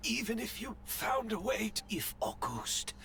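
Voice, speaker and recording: A slow, expressive male voice for a scheming game villain shaken by defeat. He sounds disbelieving, over faint background ambience. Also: sits high, gravelly, slight background noise, heavily acted. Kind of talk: dramatic video game voice acting.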